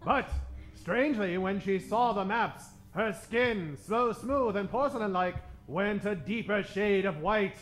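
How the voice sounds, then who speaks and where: An actor playing a grand old-time radio announcer, narrating a serial in florid, dramatic style. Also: male voice, high voice, measured pace, a bit bright, faint music behind. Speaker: narrator and host. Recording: roomy stage recording.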